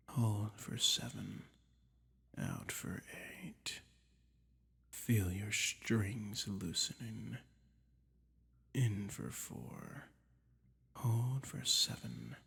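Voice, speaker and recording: A man voicing a soothing, toying dragon in a soft, breathy whisper, slowly lulling the listener in an ASMR hypnosis roleplay. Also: lowish voice, no room sound, full character voice. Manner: slow, soothing, lulling, drawn-out phrases.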